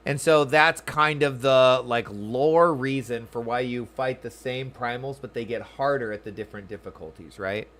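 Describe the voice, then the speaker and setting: A male streamer with a medium-pitched voice gives casual game commentary. He muses aloud and talks informally to his viewers. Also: moderate pace, hardly any pauses, a bit bright.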